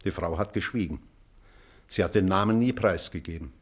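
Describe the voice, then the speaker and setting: An actor playing a weary, remorseful old male schoolteacher in a literary drama, pensive and measured, with weighty pauses. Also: low-pitched, lightly acted. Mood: somber, confiding. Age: older. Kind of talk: German literary stage drama.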